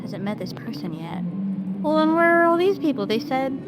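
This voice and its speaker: Expressive woman gamer, highish lively voice. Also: moderate pace, loud music behind the voice. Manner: expressive, reactive. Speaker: gaming streamer. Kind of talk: casual game playthrough commentary.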